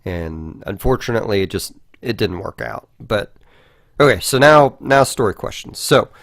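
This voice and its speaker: A man co-hosting a podcast, casually discussing video games and haltingly thinking aloud, with some swearing. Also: on the low side, lively intonation, gravelly, a bit bright, no room sound, studio quality. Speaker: podcast host.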